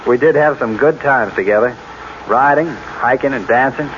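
A man's voice: medium pitch, normal speed, close to an everyday voice. Guy playing friendly breezy architect, trusting sort, old radio drama, muffled with some hiss. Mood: cheerful, friendly. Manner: breezy, colloquial. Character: amiable, chatty, unsuspecting young architect.

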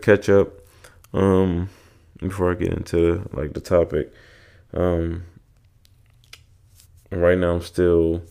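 A man's voice: low voice, creaky, warm tone. A young man, congested and with a sore throat, hosting a casual solo podcast and rambling with lots of fillers and restarts. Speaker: podcast host.

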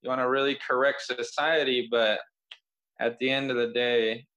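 A young man hosts a podcast in easygoing conversation. He speaks earnestly, often pausing on "uh" as he thinks. His voice has an average pitch. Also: level tone, pushed and bright. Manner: earnest, with frequent "uh" fillers.

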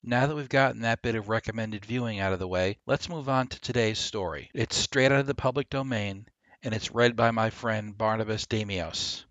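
A man hosting a podcast, delivering a scripted monologue and review with emphatic, deliberate delivery. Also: lowish voice, fairly bright tone, no room sound, faint music behind. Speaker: podcast host. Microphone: close, dry podcast microphone.

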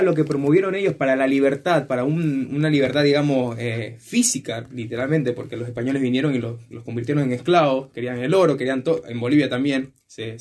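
Guy in a chat, normal pitch, keeps searching for words as he explains. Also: darkish tone. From Ecuador. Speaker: discussion participant. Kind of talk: casual discussion.

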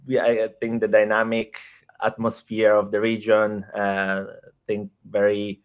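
A man with an Italian accent speaks at a somewhat low pitch. He pauses often, filling gaps with "uh" and restarting his phrases as he tells his story in a relaxed interview. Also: smooth. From Italy. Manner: hesitant, frequent fillers and repeated words. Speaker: startup executive guest. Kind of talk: casual interview conversation.

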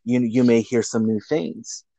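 A chatty, upbeat man hosts a casual interview with a voice of middling pitch. The recording is dry and close, with no room sound. He asks his questions in an easy, friendly flow. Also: unhurried, gravelly, mellow, no room sound. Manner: upbeat, chatty, laughs often. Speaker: host of singing competition group.

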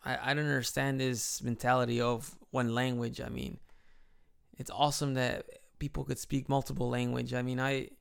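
Thirties man from Chicago, Midwest accent with a slight Mexican tinge, loose rambling vlog delivery, gravelly. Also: medium pitch, level tone, moderate pace. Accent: Midwest American with a slight Mexican tinge. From Chicago, United States. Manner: rambling, chatty, frequent restarts. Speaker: vlogger living in Japan. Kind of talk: casual personal vlog.

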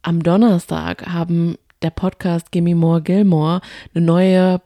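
A woman's voice: on the low side, flat delivery, takes it slowly, mellow, no room sound, studio quality. A young woman co-hosting a podcast, talking casually in a chatty, rambling way. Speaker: podcast co-host. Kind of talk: casual chat.

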